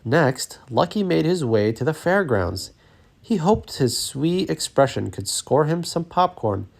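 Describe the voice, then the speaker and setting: A man narrating a children's story as an expressive read-aloud. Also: fairly high pitch, a little slow, gravelly.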